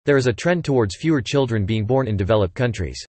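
A man reading English example sentences aloud for listening practice, in an even, clipped delivery. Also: medium pitch, quick pace, no echo.

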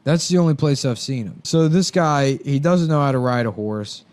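A man's voice: mid-range voice, moderate pace, a little mellow, no echo. Crude, sarcastic man bantering casually. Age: 30s. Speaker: podcast host.

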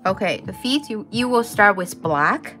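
A woman's voice: mid-range voice, expressive, normal speed, sharp tone, faint music behind. A woman from Taiwan, a native Chinese speaker with a Taiwanese accent, hosting a casual live crochet tutorial with informal step-by-step instructions. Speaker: craft tutorial host.

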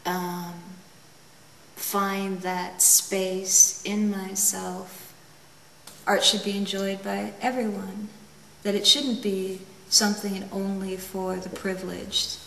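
A woman in her forties from the United States, a painter talking about her work in her studio, musing and unhurried, often laughing. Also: mid-range voice, vocal fry, slight background noise. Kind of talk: relaxed interview talk. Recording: echoey studio, mic far off.

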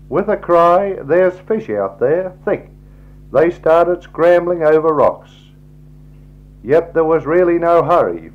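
Australian man narrating on dull vintage tape. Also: a little high. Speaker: slideshow narrator. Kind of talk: 1960s scripted travelogue narration. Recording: dull, lo-fi 1960s tape recording.

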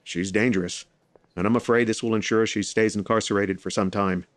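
An actor playing a formal, clinical hospital doctor in an audio drama, with precise wording. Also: male voice, on the low side, steady tone, fairly quick, a little hiss or hum, lightly acted. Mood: clinical, grave.

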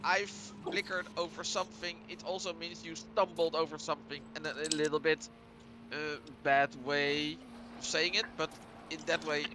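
A man's voice: a little high, flat delivery, normal speed. A man from the Netherlands joking and teasing friends in voice chat during a race. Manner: teasing, jokey. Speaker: player. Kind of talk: casual voice chat while gaming. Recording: noisy voice chat mic.

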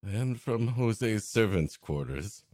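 A man with a slightly low voice and an unhurried pace that still swings widely in pitch. He riffs casually as a comedy podcast co-host. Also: expressive, darkish tone, no echo, studio quality. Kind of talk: casual comic riffing and interjections.

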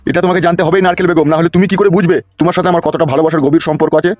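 A man's voice: a little high, steady tone, rapid-fire, close to an everyday voice. A man voicing a sly, lecherous village lover in a comedy cartoon, wheedling and blustering, in lo-fi sound. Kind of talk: amateur comedy cartoon dialogue. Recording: dull lo-fi sound with music.

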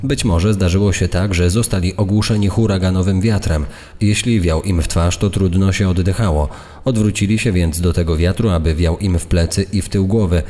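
A man's voice: sits low, normal speed, mellow. A man giving explanatory, storytelling commentary in a reverberant recording. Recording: long reverberant hall sound. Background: faint music.

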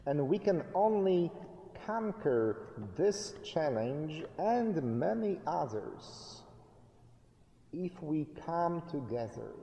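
A middle-aged man preaching a sermon from a lectern, with slow, deliberate phrasing and emphatic pauses. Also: fairly high pitch, dark tone, slight background noise. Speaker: pastor. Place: church sanctuary, indoors, at a lectern. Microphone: fixed camera at a distance. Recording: reverberant church hall.

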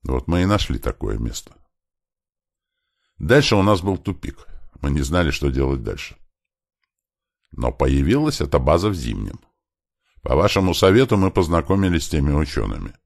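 A man's voice: extremely low pitch, big pitch swings, normal speed, gravelly, long pauses, a little mellow, no echo, clean studio sound, voice pushed up, full character voice. A man voicing an earnest male professor in an audiobook, explaining things politely and with emphasis. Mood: patient, explanatory.

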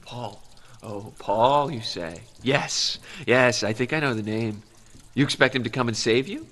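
An actor playing an eerie blind hermit in a radio drama, mocking, cryptic and theatrical. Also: male voice, medium pitch, measured pace, fairly bright tone. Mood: sly, menacing. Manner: cryptic, theatrical, taunting, given to chuckling. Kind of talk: post-apocalyptic radio drama acting.

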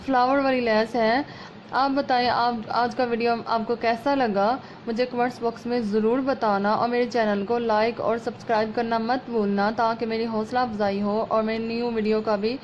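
Female vlog host, sore throat with a slight rasp, homely chatty tutorial delivery, somewhat high voice, muffled phone recording. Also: flat delivery, normal speed. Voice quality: slightly hoarse. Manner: chatty, homely, explaining as she works. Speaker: sewing channel host. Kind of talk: casual step-by-step sewing tutorial. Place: at home over a work table. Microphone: phone camera over hands. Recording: muffled lo-fi phone audio, faint room noise.

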